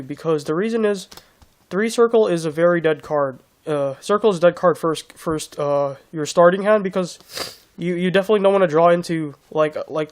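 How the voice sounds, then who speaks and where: A young man with a hoarse voice from being sick, casually walking through his card game deck with many restarts. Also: a little high. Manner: rambling, many restarts and fillers. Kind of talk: casual deck profile walkthrough.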